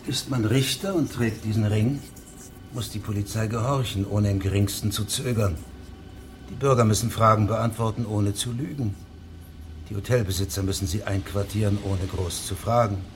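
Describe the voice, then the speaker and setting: An actor in a radio drama playing a stern judge who questions people with measured authority. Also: male voice, on the low side, steady tone, soft background music, close to an everyday voice. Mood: coolly authoritative. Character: stern, probing traveling judge of fifteen years' standing.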